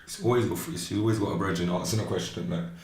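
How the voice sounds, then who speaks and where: A man's voice: low voice, fast. A man hosting a live podcast, jokey and slangy. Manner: jokey, animated, slips into slang. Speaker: podcast host. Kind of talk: casual chat on a live podcast. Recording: echoey room with faint noise.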